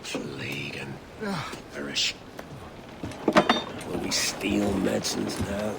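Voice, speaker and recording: Gravelly, theatrical pirate captain. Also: male voice, low voice, background noise, heavily acted. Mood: bitter. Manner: theatrical, deliberate. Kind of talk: dramatic video game acting.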